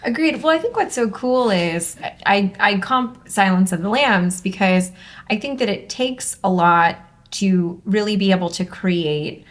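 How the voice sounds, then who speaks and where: A woman's voice: mid-range voice, expressive, a little slow. A woman hosting and reading aloud in an upbeat, conversational presenter's style. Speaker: host and script reader. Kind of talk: upbeat hosted discussion and stage-direction reading.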